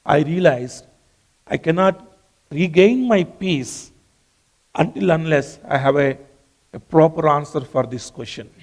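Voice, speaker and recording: A man's voice: on the high side, lively intonation, measured pace, long pauses. A man whose first language is not English, preaching a sermon earnestly and repeating phrases for emphasis. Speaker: pastor.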